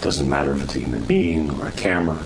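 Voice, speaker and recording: Man acting as ominous possession investigator, very low bass voice, matter-of-fact horror drama monologue, noisy ambience. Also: expressive. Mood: ominous, grave. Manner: grave, measured, speculative. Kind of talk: first-person horror audio drama monologue. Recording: loud rumbling ambient noise behind.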